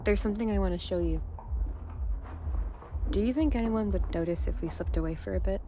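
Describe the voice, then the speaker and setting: A woman's voice: medium pitch, fairly quick, dark tone, natural voice. A woman playing a wry, guarded young heroine in an audio drama, dry and conversational, with faint noise. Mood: wry, playful. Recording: faint background ambience and noise.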